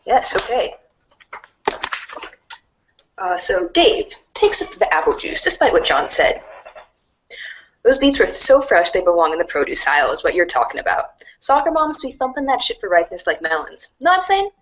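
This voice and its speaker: A woman reading narration aloud in an animated storybook style for a reader's theater. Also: medium pitch, normal speed, long pauses, a little hiss or hum, low-quality recording.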